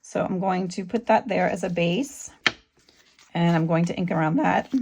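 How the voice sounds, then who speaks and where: Middle-aged woman, sore throat, low and gravelly, relaxed halting craft-tutorial host. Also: background noise. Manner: relaxed, halting, with frequent ums. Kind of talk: casual craft tutorial narration.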